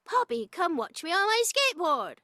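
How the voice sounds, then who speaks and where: A woman voicing an excited young child calling out in a cartoon dub. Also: extremely high pitch, normal speed, dry recording, clean studio sound, pitched above the performer's own voice, heavily acted. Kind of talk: cartoon dubbing voice.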